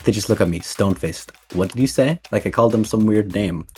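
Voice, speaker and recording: A young man with a somewhat low voice, trading jokes in a relaxed podcast chat. He talks brashly, in heavy slang and with frequent swearing. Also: a little fast, faint music behind. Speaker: podcast co-host. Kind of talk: casual chat.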